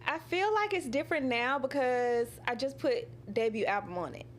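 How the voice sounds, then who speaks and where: A woman singer-songwriter with a slightly high voice chats freely in an interview. She is animated and laughs a lot, acting out her own quotes and trailing into "you know" and "um." A faint hiss sits under the recording. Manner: drawn-out fillers like "you know" and "um". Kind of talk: casual interview chat.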